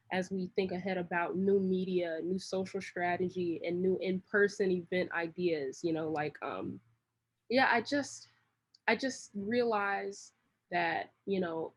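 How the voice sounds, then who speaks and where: A woman chats as a guest in a casual interview. She has a slightly husky voice at a middling pitch. Her talk rambles and is full of slang, circling at length before landing. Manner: long-winded, rambling, slangy. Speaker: interview guest, creative director.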